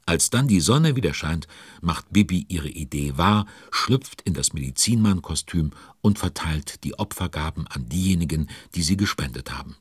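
A man's voice: sits low, expressive, moderate pace, husky, dry recording. A man narrating a children's audio story, chatty and speaking directly to young listeners.

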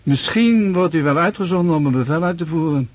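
An actor playing a courteous, genial senior army commander with a husky voice in a radio drama. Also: male voice, a little high, a little fast, very noisy, close to an everyday voice. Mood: welcoming. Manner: polite, obliging.

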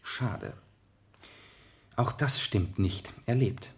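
An actor playing an urbane, shrewd gentleman detective in a German radio play, polite, precise and insinuating. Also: fairly low pitch, huge pitch swings, very slow, vocal fry, full character voice. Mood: probing, insistent.

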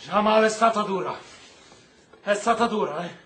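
A very high, bright male voice in a roomy, echoing space as a gentle prisoner from Kabul. He is a loyal, caring friend who speaks with earnest, stagey feeling and measured pauses. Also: slight background noise. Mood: worried, reassuring. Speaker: actor. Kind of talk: Italian stage-style radio drama acting.

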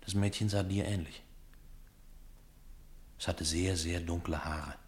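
A man acting a mysterious, homesick foreign soldier in a radio play, speaking solemnly and poetically in a measured delivery. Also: sits low, steady tone. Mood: solemn, brooding.

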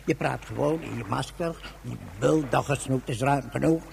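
Creaky, rambling old hermit. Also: male voice, medium pitch, normal speed, darkish tone, slight background noise. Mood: brisk, dismissive. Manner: rambling, folksy, gruff slang. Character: stubborn ageing hermit of the salt gorge, philosophising. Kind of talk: Dutch science fiction radio drama acting.

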